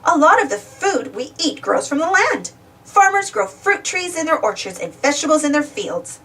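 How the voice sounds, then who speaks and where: Female children's read-aloud narrator, high register, hugely lively intonation, slightly distant mic. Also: moderate pace. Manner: expressive, simple delivery for young children. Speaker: storybook reader.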